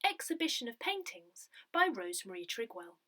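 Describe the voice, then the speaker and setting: A woman reading a scripted community newsletter aloud, with careful, clearly phrased delivery. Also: on the high side, big pitch swings, normal speed, bright, forceful tone. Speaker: newsletter reader.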